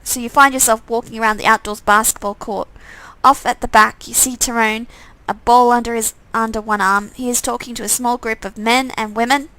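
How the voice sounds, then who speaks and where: Woman game streamer, high bright voice, expressive storytelling with casual asides. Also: brisk, few pauses, no room sound, rough recording. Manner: expressive, breaks off to comment casually. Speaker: streamer reading a visual novel game aloud. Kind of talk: dramatic narration with off-the-cuff asides.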